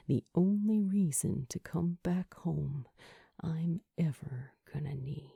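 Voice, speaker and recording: A woman voicing an earnest, teasing young man, a lovestruck ghost, in breathy, tender audiobook dialogue. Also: deep voice, takes it slowly, mellow, dry recording, clean studio sound, heavily acted. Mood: hushed, tender. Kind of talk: acted fan fiction audiobook dialogue.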